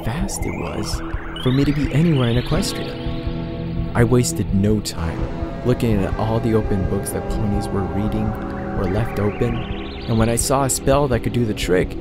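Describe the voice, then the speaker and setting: A man's voice: medium pitch, lively intonation, moderate pace, lightly acted. A man voicing a tormented, blinded unicorn pony who recounts his past in an intense, dramatic first-person story reading. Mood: wary, reflective. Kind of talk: dramatic reading of fan fiction. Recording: loud music under the voice. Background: prominent background music.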